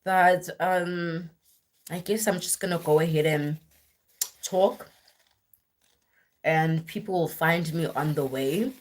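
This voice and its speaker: A young woman with a husky voice, worn deeper than usual, chatting casually and at length on a live stream, with lots of fillers and restarts. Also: slow pace, long pauses. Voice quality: husky, deep voice. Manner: rambling, filler-heavy, self-correcting. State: voice worn and deeper after a weekend of church singing.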